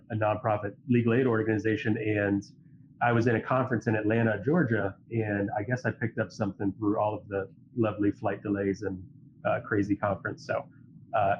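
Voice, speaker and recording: A man with a fairly low voice speaks as a guest, sounding stuffy from a cold. He talks earnestly and at length, leaning on fillers as he thinks. The audio is dull and lo-fi with a faint hiss underneath. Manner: earnest, rambling, filler-heavy. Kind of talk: casual chat.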